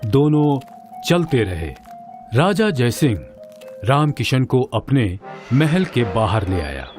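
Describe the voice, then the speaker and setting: A man's voice: medium pitch, big pitch swings, slow pace, darkish tone. A man narrating a scripted children's moral story. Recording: music under the voice.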